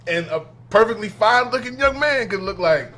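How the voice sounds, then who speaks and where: A man's voice: high-pitched, moderate pace. A man in his twenties chatting on a podcast, telling stories with lots of laughter. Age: 20s. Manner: animated storytelling, laughs often. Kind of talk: casual podcast chat.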